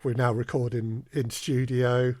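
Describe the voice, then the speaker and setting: A man's voice: mid-range voice, level tone, a little slow, darkish tone, no room sound. A man speaking as a podcast guest and audio drama producer, chatting in a thoughtful, slightly hesitant conversational way. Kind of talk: casual interview chat.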